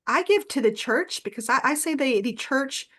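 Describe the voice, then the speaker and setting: A woman's voice: sits high, expressive, moderate pace, fairly bright tone. A woman presenting a workshop, telling a personal story earnestly with frequent fillers. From United States.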